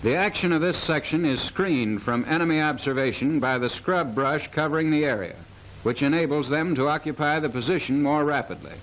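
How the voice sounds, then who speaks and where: A man's voice: a little high, fairly quick, fairly bright tone. A man reading scripted military instructional narration in a formal, precise manner, in the style of a 1930s training film. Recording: dull, hissy 1930s film soundtrack.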